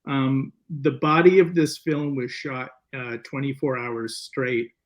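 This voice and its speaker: Guy guest on an interview, normal pitch, rambly casual stories, kinda halting and repeating himself. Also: fairly even intonation. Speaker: filmmaker guest.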